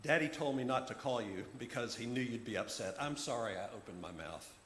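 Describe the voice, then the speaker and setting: A man's voice: medium pitch, expressive, brisk, a bit bright, lightly acted. A man voicing an anxious, flustered woman who stammers and backtracks hesitantly as a character in a told story. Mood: anxious, evasive. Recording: roomy echo, clear background noise.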